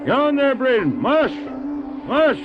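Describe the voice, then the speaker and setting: An actor playing a determined, devout doctor who drives a dog sled, in an animated, exclamatory audio drama style. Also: male voice, very high-pitched, slow pace, exaggerated voice. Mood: urging, excited. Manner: animated, exclamatory, self-talking. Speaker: character who also narrates his story. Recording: dull old cassette drama, loud music.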